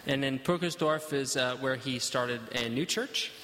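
A man interprets a sermon line by line in a bright, sharp-toned voice. He hesitates often and fills pauses while he hunts for the right word. The sound carries the long reverb of a church hall. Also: medium pitch, slight background noise. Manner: hesitant, halting, many fillers.

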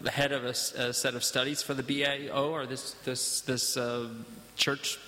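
A man interprets a sermon line by line in a bright, sharp-toned voice. He hesitates often and fills pauses while he hunts for the right word. The sound carries the long reverb of a church hall. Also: medium pitch, little pitch movement. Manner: hesitant, halting, many fillers.

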